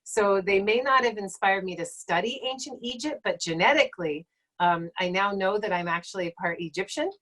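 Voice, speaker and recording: Woman, late forties, Egyptologist on a home live stream; relaxed and digressive delivery with lots of fillers. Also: medium pitch, moderate pace. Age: late 40s. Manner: chatty and digressive, many fillers, self-corrects. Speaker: Egyptologist giving a talk and Q&A. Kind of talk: casual lecture and Q&A on a live stream.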